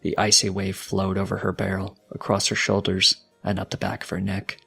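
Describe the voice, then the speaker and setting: Low gravelly man narrating a dramatic story. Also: completely flat delivery, moderate pace, fairly bright tone, faint music behind. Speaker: fanfiction narrator.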